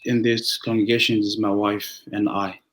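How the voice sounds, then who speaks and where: A man's voice: lowish voice, little pitch movement, a bit bright. Young guy from tonga, pastor, english is his second language, lots of stops and restarts. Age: young adult. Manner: halting, many restarts and fillers. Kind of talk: conversational interview.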